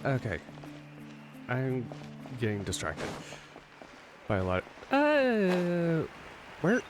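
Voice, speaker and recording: A man's voice: medium pitch, huge pitch swings, very slow. A man live-streaming a video game, chatting casually and musing aloud in a rambling way. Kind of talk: casual chat while playing a game. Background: video game music.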